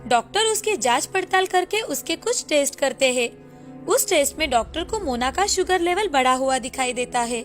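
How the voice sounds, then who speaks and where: A woman's voice: sits high, animated, moderate pace, faint music behind. A woman reading scripted narration for a moral story.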